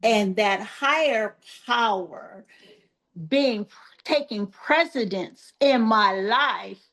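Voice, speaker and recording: An older woman guest on a podcast, talking in a soft-spoken, measured and earnest way, with many pauses and fillers. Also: fairly high pitch. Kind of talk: reflective interview talk.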